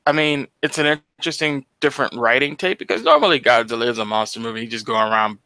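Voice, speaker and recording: American male co-host, crisp bright voice, dry close sound, casual explainer with slang and mild swearing. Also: mid-range voice, fairly quick, dry recording. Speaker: podcast co-host. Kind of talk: casual discussion of movies.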